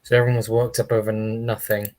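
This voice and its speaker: A man's voice: fairly low pitch, level tone, quick pace, a little mellow. A man livestreaming a video game with casual commentary, reading dialogue aloud.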